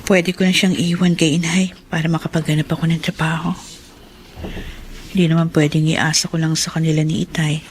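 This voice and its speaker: A woman's voice: low-pitched, flat delivery, fairly quick, slight background noise, lightly acted. A woman acting in a Filipino radio drama as a wounded but devoted young mother. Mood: tender, resolute.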